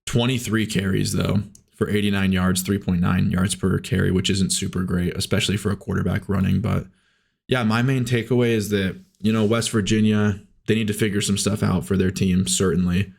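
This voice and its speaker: A man's voice: deep voice, a little fast, creaky, husky. A man with a sore throat giving a casual football recap, reading off stats with easy fillers. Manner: relaxed, stat-heavy recap with fillers. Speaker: co-host. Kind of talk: casual sports talk.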